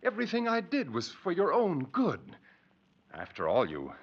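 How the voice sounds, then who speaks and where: An actor playing a scheming, falsely soothing murderer in a 1940s radio horror drama, speaking in a halting, over-polite, theatrical way. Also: male voice, on the high side, wildly swooping pitch, husky, full character voice. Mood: coolly insistent. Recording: dull, lo-fi vintage 1940s radio recording.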